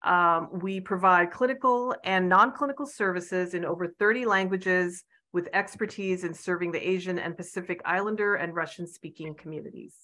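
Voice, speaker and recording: Conference presenter, middle-aged American woman, bright clear voice, friendly and a bit halting. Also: fairly low pitch. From United States. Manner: friendly, warm presenting with fillers. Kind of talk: conference talk over video call.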